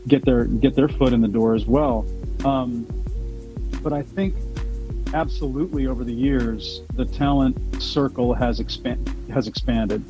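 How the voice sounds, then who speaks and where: Man, deep baritone, interview guest, rambling, restarts, background music. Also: steady tone, measured pace, low-quality recording. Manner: rambling, many restarts and repeated words. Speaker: voice actor guest. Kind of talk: podcast interview answers.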